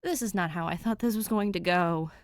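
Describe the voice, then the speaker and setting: A woman voicing an animated, playful young ghost boy who likes to tease, in a storybook reading. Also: fairly low pitch, brisk, no echo, clean studio sound, close to an everyday voice. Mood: curious.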